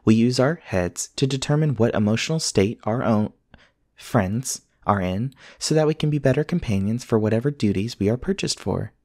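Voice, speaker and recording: A man playing a polite, earnest companion robot in an ASMR roleplay, speaking in formal, measured, precise sentences. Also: medium pitch, animated, darkish tone, no room sound, clean studio sound, lightly acted. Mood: courteous, helpful. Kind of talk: scripted ASMR roleplay acting.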